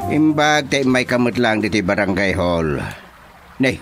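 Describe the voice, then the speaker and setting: A man with an Ilocano accent playing an excitable, nosy, teasing village buddy in a radio comedy drama. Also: fairly low pitch, a little slow, over loud music.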